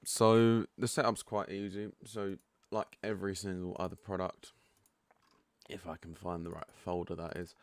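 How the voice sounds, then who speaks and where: A man with a croaky, just-woken-up voice giving a relaxed, chatty walkthrough of a software setup. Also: low-pitched, moderate pace, no room sound. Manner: relaxed and chatty, frank asides. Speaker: product developer giving a setup tutorial. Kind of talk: casual screen-share walkthrough.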